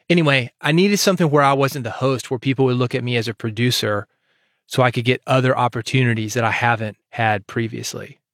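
A man's voice: mid-range voice, no echo, clean studio sound. A man hosting a podcast solo, talking conversationally as an experienced audio producer.

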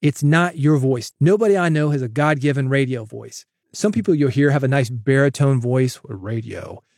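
A man's voice: mid-range voice, normal speed, vocal fry, no echo. Upbeat man podcasting, dry studio sound. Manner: energetic, coaching, direct address. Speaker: podcast host. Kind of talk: solo podcast advice with sponsor read. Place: home studio, processed dry voice. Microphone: studio broadcast dynamic mic.

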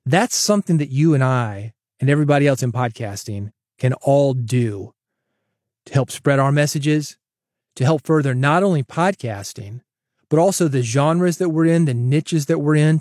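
A man's voice: mid-range voice, normal speed, darkish tone, dry recording, clean studio sound. A man hosting his own solo podcast, talking casually and coaching listeners, often calling them "man". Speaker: podcast host.

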